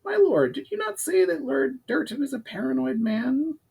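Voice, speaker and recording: A man's voice: very high-pitched, sing-song, moderate pace, creaky, a little mellow, voice pushed up, heavily acted. A man voicing a shrewd, scheming goblin strategist with an eager, calculating manner and formal diction, in a storybook reading.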